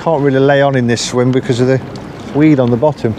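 Middle-aged english guy chatting while he fishes, easygoing, wind loud on the camera mic outside. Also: medium pitch. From England. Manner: relaxed, unhurried, thinking aloud between casts. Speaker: angler filming his own channel. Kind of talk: casual commentary while fishing. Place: riverbank outdoors on a windy day. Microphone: camera mic placed behind him. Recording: outdoor camera audio with wind noise.

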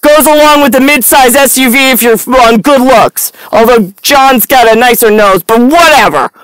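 A woman's voice: high-pitched, normal speed, smooth, no echo, poor audio. Blunt woman rambling, close and dry. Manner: blunt, sardonic, profane, animated hand gestures. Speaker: vlogger. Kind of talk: rambling, stream-of-consciousness monologue to camera. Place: inside a parked car at night.

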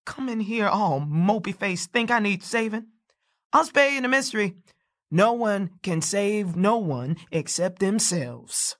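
An actress playing a gruff, bitter, hard-drinking motel recluse in an audio play, curt and needling, with folksy speech. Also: female voice, medium pitch, animated, a little slow, a bit bright. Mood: bitter, menacing. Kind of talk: audio drama acting of a stage play.

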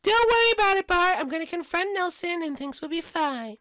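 Woman doing a bossy little girl, high and confident, dry close sound. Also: lively intonation, fairly quick, fairly bright tone, no echo. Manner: bossy, encouraging.

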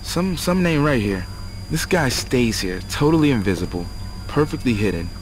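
A man's voice: mid-range voice, big pitch swings, moderate pace, a little hiss or hum, lightly acted, low-quality recording. A man playing a suspicious, foul-mouthed, jittery sidekick in a video game, hesitant and grumbling. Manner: hesitant, grumbling, casual swearing. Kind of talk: video game cutscene acting.

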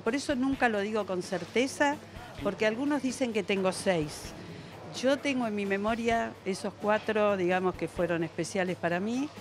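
A woman in her seventies with an Argentine accent answers interview questions conversationally, in a reflective, explanatory manner. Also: lowish voice. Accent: Argentine Spanish. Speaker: veteran bowler being interviewed. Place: indoor bowling alley. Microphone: handheld interviewer's microphone. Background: music.

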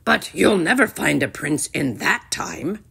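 A woman voicing a stern, exasperated king and father in a storybook, raspy, scolding and commanding. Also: deep voice, lively intonation, unhurried, a bit bright, full character voice.

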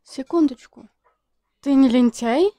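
A woman's voice: sits high, slow, dark tone, no room sound. A woman reading questions aloud to a character in an amateur comic dub. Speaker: question reader.

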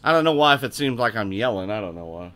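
A man streaming live gameplay, talking loud and excitably, with a booming voice, cursing at the game and answering chat. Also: quick pace, slight background noise. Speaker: gaming streamer.

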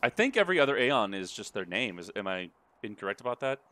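Male let's player, crude and excitable, bright animated delivery, faint game sound behind. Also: on the low side, fast talker, a little hiss or hum. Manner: crude, sweary, excitable reactions. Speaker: let's play host. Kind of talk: casual gameplay commentary. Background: video game audio.

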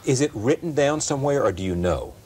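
A man's voice: mid-range voice, lively intonation, fast, a little mellow, slight background noise. A middle-aged man hosting a broadcast interview, probing and rephrasing his questions at length, on a lapel mic in a studio. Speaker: talk show host. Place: television studio set.

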